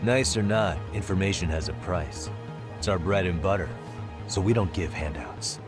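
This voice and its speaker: An actor playing a cool-headed, wry private detective in a game dub, measured and dry. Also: male voice, low-pitched, close to an everyday voice. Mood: dry, unimpressed. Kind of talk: dubbed video game cutscene acting. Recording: music behind the voice.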